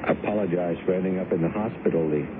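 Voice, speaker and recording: Actor as low, subdued radio drama husband. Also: male voice, rapid-fire, lightly acted. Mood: sheepish, groggy. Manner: subdued, hesitant. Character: apologetic, bewildered young husband recovering from amnesia. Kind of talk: 1970s radio drama acting. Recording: muffled, noisy 1970s radio broadcast.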